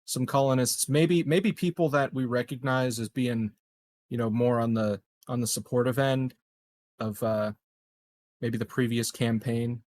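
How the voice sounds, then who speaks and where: A man's voice: medium pitch, fairly even intonation, measured pace, a little mellow. A man playing a tabletop roleplaying game, talking casually and tentatively, repeating words as he thinks aloud.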